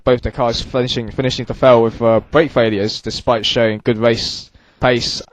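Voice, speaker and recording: A man's voice: mid-range voice, little pitch movement, normal speed, a little hiss or hum, rough recording. Man commentating on sim racing. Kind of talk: live sports commentary and interviewing.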